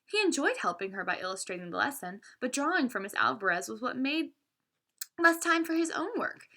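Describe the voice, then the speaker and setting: A woman's voice: high-pitched, a little fast. A woman reading a children's story aloud expressively, now and then stumbling and correcting herself. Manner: animated, with stumbles and self-corrections.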